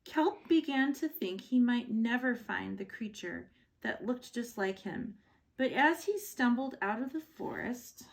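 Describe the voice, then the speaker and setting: A woman's voice: fairly high pitch, lively intonation, unhurried, creaky, poor audio. A woman reading a children's picture book aloud as a bedtime story, expressively and with short asides. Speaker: storybook reader.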